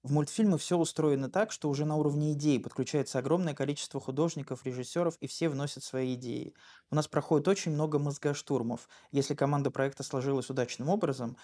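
A man's voice: medium pitch, dark tone. A man hosting an interview conversationally, asking questions and clarifying things for listeners. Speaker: interviewer, host.

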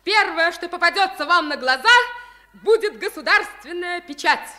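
Proud boy prince: piercingly high, bright, imperious, declaiming in a radio drama. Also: lively intonation, normal speed, heavily acted. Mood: confident. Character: proud, indignant boy king in beggar's rags. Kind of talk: Soviet radio play acting.

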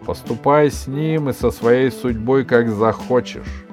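A man's voice: mid-range voice, unhurried, pitched above the performer's own voice, close to an everyday voice. A man voicing a grim, weary wronged husband in a storybook tale, confessing solemnly. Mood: weary, resigned. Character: grim, weary divorced husband of a she-djinn. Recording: music behind the reading.

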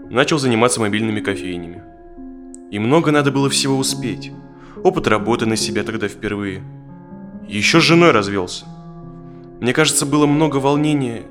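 An actor playing an uneasy therapy client in his thirties in an audio drama, speaking in a halting, reflective way. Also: mid-range voice, lightly acted. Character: uneasy, tired thirty-five-year-old male therapy client.

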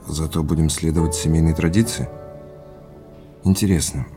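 An earnest, curious man in an audio drama. His voice is very deep and his replies are brief and thoughtful. Music is clearly audible underneath. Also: fairly even intonation, normal speed. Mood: pensive, relaxed.

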